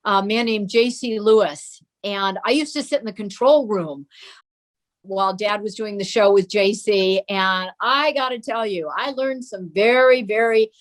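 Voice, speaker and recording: An American woman reminiscing casually as a guest, acting out remembered remarks in an expressive way. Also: mid-range voice, measured pace. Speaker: guest, daughter of a TV performer.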